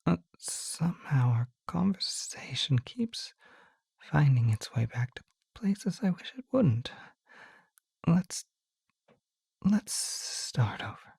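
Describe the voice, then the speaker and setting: A woman voicing a gentle, shy young male naga in an ASMR roleplay, speaking in a soft, hesitant, breathy whisper. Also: low voice, sing-song, slow, no echo, studio quality, exaggerated voice. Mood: reluctant, apologetic. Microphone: close microphone, dry.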